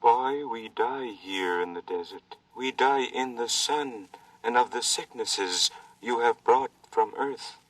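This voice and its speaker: An actor playing an old, sorrowful Martian native who speaks slowly and formally in a 1950s radio drama. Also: male voice, mid-range voice, recorded from a distance, close to an everyday voice, poor audio. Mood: solemn. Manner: slow, measured, formal speech. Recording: dry vintage radio transcription.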